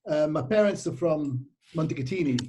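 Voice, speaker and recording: A man's voice: on the high side, lively intonation, normal speed, mellow, slight background noise. A middle-aged man with a British accent, a cycling tour operator chatting casually in an interview, rambling with lots of fillers and restarts.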